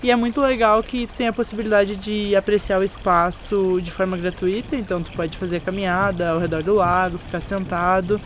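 A young woman from Brazil narrating a travel vlog in a calm, descriptive way, speaking outdoors at scenic spots. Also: mid-range voice, brisk, few pauses, a little hiss or hum. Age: young adult. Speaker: travel vlogger. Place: outdoors on a windy overlook and city streets. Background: background music.

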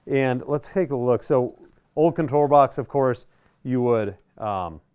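A man's voice: mid-range voice, unhurried, darkish tone, smooth. Laid-back middle-aged American man. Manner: laid-back, conversational, thinking aloud. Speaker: product reviewer and presenter. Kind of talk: casual unboxing commentary. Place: indoor radio workshop.

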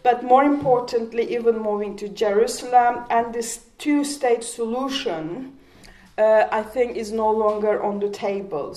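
A woman's voice: medium pitch, mellow, low-quality recording. Impassioned woman, Kurdish native speaker. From Turkey. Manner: impassioned, rhetorical questions, frequent restarts. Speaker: panel speaker. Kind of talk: public panel talk. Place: community hall, panel table. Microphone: handheld mic.

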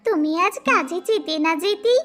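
A woman's voice: very high-pitched, expressive, normal speed, a bit bright, soft background music. A woman voicing an eager, innocent little fox girl in a children's cartoon, polite and childlike in manner. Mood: eager, curious. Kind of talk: children's animated fable dialogue.

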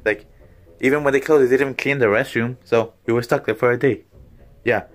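A man's voice: medium pitch, steady tone, a little fast, close to an everyday voice. A man in a small bedroom, filmed on a phone at arm's length, playing a smug, snide woman rival who acts falsely friendly. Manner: snide and gossipy. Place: small cluttered bedroom, indoors. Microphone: phone camera at arm's length.

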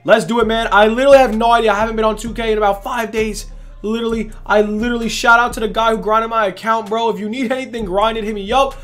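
A young man with a voice going hoarse, hosting a casual gaming video in hyped, rambling talk full of "literally" and "bro". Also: high voice, steady tone, fast, a bit bright, faint music behind. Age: young adult. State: losing his voice. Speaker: gaming channel host. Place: indoors at a gaming setup, face cam.

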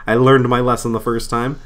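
A man's voice: medium pitch, a bit bright. A man getting over a cold, chatting casually and thinking aloud as he plays through a game, with fillers and small laughs at himself. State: recovering from a cold. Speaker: let's play host. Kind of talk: casual gameplay commentary. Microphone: desk microphone.

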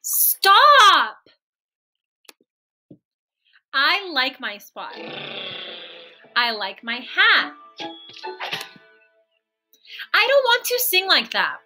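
Woman voicing a high-pitched, hesitant storybook hippo. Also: bright, forceful tone, full character voice, rough recording. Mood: defiant. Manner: hesitant, questioning, exclaiming. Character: timid, eager-to-please young hippo in a storybook.

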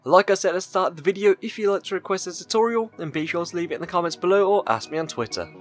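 A young man giving a casual, upbeat YouTuber voice-over for a software tutorial. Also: sits high, animated, racing. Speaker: tutorial video host. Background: background music.

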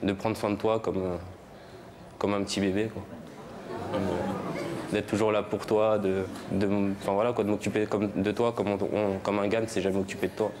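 A young man with a cold, making a hesitant, halting love confession on a TV studio stage. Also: lowish voice, level tone, crowd noise. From Normandy. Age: young adult. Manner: hesitant, halting, many restarts and fillers. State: has a cold. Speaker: guest declaring his love. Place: large TV studio with audience. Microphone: lapel mic. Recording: studio with clear background noise.

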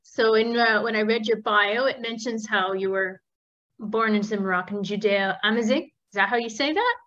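A woman interviewing an artist over a video call, gently asking questions and encouraging her. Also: fairly high pitch, normal speed. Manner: gentle, encouraging with frequent mm-hmms. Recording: dull lo-fi video call audio.

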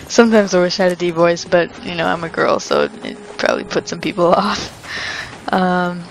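A woman's voice: lowish voice, big pitch swings, unhurried, vocal fry, poor audio. A young woman casually commenting while she plays a video game, chatty and rambling with frequent laughs. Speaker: let's play host. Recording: commentary over game sounds.

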